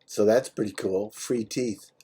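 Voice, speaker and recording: Low, mellow-voiced American man on the phone. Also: measured pace, breathy edge. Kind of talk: casual personal phone chat. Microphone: phone call.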